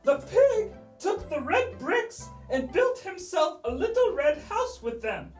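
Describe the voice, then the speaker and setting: A woman's voice: very high voice, big pitch swings, takes it slowly, darkish tone, roomy sound, recorded from a distance, faint music behind. A woman telling a children's story in a dramatic, playful way, drawing out key words.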